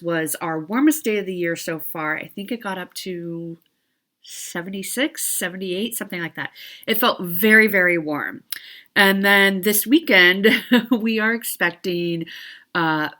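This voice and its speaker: A woman's voice: medium pitch, a little slow, pushed and bright. A middle-aged woman chatting casually to viewers of her cross stitch vlog, a little stuffy from allergies, rambling with fillers and small laughs.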